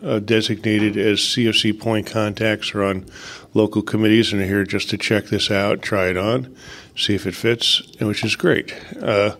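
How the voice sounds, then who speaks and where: A man with a fairly low voice opens a conference panel as its committee chair. He talks in an easygoing, self-deprecating way and leans on "uh" and "um" between phrases. It sounds like a meeting room. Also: fairly even intonation, normal speed, fairly bright tone. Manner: filler-heavy, self-deprecating. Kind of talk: opening remarks on a conference panel.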